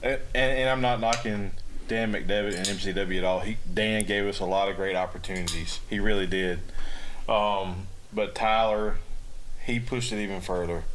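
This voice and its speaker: A man who wrestles for a living talks through old matches in a loose, joking way, poking fun at himself. His voice sits on the low side. Faint noise hangs behind him. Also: a bit bright, rough recording. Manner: chatty, self-deprecating storyteller. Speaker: wrestler guest. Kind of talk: casual podcast chat.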